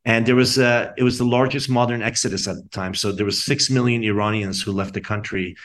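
A middle-aged man talks as a guest in an interview. His voice is a touch low. He tells stories informally, hesitating now and then as he looks for the next word. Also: fairly even intonation, brisk, fairly bright tone. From Iran and the United States. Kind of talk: casual anecdotal storytelling.

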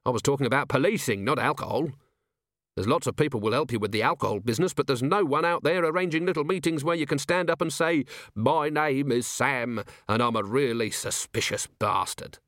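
A man's voice: medium pitch, brisk, a bit bright, dry recording, clean studio sound, pitched above the performer's own voice, lightly acted. A man voicing a cynical, world-weary middle-aged police commander in an audiobook, blunt and sardonic. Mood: wry.

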